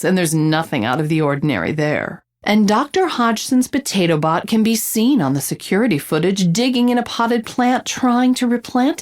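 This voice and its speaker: A woman playing an inquisitive reporter who narrates her case like a noir sleuth, in deliberate dramatic audio drama style. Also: mid-range voice, darkish tone, natural voice.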